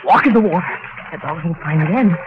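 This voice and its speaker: A man's voice: a little high, lively intonation, a little fast, lightly acted. An actor playing a frightened, simple-minded henchman on the run, pleading and stammering in broken grammar, in old-time radio drama style. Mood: terrified. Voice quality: whimpering, stammering, ungrammatical pleading. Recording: hissy, muffled vintage radio recording.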